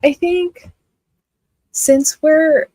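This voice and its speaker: A woman's voice: high voice, fairly even intonation, drawn-out words, warm tone, no echo. A young woman with a Filipino accent hosting a casual live reading stream, chatting and reading out viewer comments with lots of "like" fillers. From Philippines. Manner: chatty, many "like" fillers, laughs often. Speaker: livestream host.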